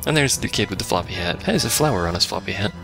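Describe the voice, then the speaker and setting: A man's voice: deep voice, lively intonation, rapid-fire, gravelly, music in the background. A man giving casual, dry and sarcastic commentary on a video game he is playing. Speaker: let's play commentator.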